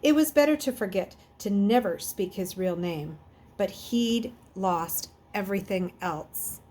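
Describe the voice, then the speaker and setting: A woman reading a children's novel aloud in an expressive way, occasionally stumbling and correcting herself. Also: medium pitch, measured pace, slight background noise. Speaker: reader.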